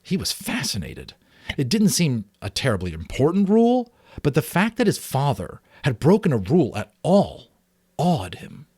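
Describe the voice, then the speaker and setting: A man's voice: medium pitch, huge pitch swings, normal speed, mellow, husky, no echo, studio quality. A man reading a story aloud in an informal, dramatic way, sometimes stumbling and correcting himself. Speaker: amateur audiobook reader.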